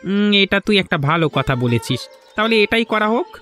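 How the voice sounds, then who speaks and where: A henpecked fisherman sidekick from a village cartoon, acted by a man. He speaks high for a man, nagging a little but agreeable. There is faint music underneath. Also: lively intonation, moderate pace, fairly bright tone. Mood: earnest. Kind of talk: animated folk-tale dialogue.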